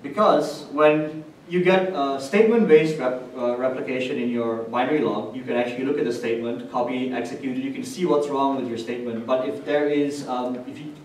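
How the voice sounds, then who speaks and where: A man presents technical material to a conference room in an explanatory way. His voice sits at a middle pitch and is picked up from a distance, with very heavy room echo and faint noise behind him. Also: fairly quick, a little mellow. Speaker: conference speaker. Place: indoor hotel conference room with projector screen. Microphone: distant camera microphone.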